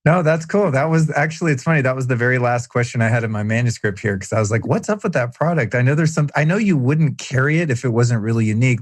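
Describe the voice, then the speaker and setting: A man's voice: mid-range voice, dry recording. Curious male podcast host, dry sound. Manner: curious, chatty questioner. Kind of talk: casual podcast interview.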